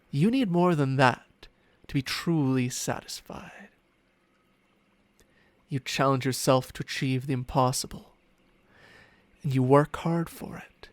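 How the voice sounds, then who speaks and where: A man's voice: medium pitch, no echo. A man voicing a smug, seductive ancient dragon in humanlike form for an ASMR roleplay, teasing and haughty in formal words, with murmurs that slip into whispers. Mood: knowing, provocative. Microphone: close microphone, dry sound.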